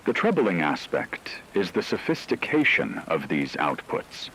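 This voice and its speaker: A man playing a grave radio news anchor, reading a scripted crisis bulletin in a measured, formal, serious way. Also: low voice, sing-song, bright, forceful tone, slight background noise, full character voice, low-quality recording. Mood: grave, urgent.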